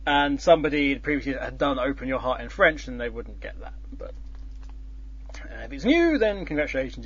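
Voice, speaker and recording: A man's voice: mid-range voice, breathy edge. A man hosting a casual chat, explaining a contest's scoring in a rambling way with many fillers and restarts. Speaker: host. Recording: dull lo-fi sound.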